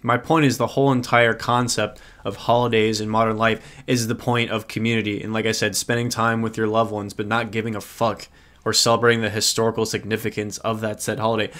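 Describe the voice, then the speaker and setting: A man's voice: fairly low pitch, level tone, brisk, fairly bright tone. A man co-hosting a podcast, arguing bluntly and swearing as he challenges his co-host. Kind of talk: casual podcast debate.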